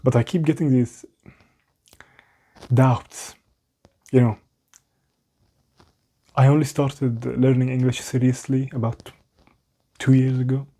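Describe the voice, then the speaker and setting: A man's voice: medium pitch, little pitch movement, slow pace, long pauses, mellow. A young man with a non-native English accent, rambling candidly to the camera in a small room at home, often mocking himself and restarting sentences. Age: young adult. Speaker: vlogger.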